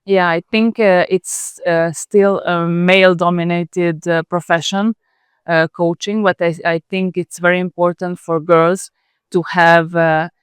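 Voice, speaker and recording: Serbian woman coach, flat and hesitant, very clean dry mic. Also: on the low side, clean tone, no echo. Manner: hesitant, many pauses and fillers. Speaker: national coach. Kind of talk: interview answers.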